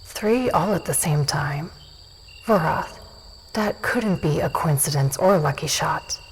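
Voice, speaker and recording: Female elf warrior: lowish, creaky, coolly taunting and sure of herself, faint night ambience. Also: lively intonation, unhurried, slight background noise. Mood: cool approval. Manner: cool, taunting, commanding. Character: tall, confident, teasing female dusk elf warrior.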